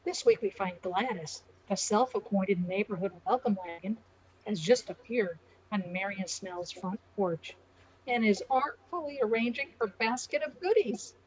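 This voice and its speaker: A woman's voice: medium pitch, unhurried, darkish tone. An older woman reading scripted narration and announcements for a radio play, on dull lo-fi audio.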